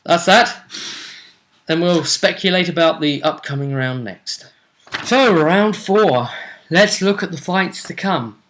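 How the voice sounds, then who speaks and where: A man hosting a tabletop roleplay vidcast, recapping fights in a casual but dramatic storytelling way with emphatic pauses. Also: a little high, lively intonation, a bit bright.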